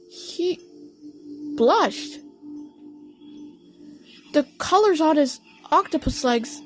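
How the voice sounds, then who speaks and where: A woman's voice: sits high, rough recording. A woman narrating a story dramatically in the first person, as in an audio play. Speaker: narrator and voice actor.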